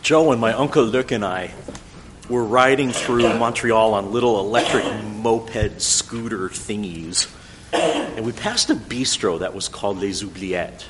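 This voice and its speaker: A middle-aged man whose first language is French, telling a thoughtful, gently wry personal story in English. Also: on the low side, expressive, a little slow, a little hiss or hum.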